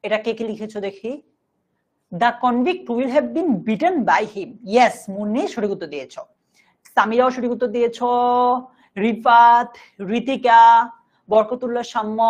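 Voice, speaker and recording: A woman with a Bangladeshi accent teaching a live online grammar class, explaining step by step, slowly dictating examples and checking that students follow. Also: fairly high pitch, expressive. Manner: instructive, repeatedly checking understanding, slowly dictating example sentences.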